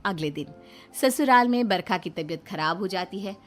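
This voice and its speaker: A woman's voice: mid-range voice, expressive, normal speed. A woman reading scripted narration for a family moral story cartoon. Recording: music under the voice. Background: background music.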